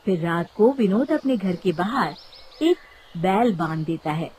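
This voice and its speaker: A woman's voice: lowish voice, animated, a little slow, darkish tone, a little hiss or hum. A woman reading scripted narration for a moral storybook cartoon.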